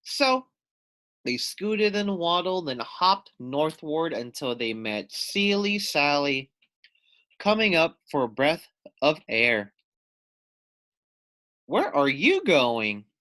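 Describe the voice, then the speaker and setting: A man reads a children's story aloud, his pitch moving in lively swings. He takes his time, telling it deliberately and expressively like a teacher.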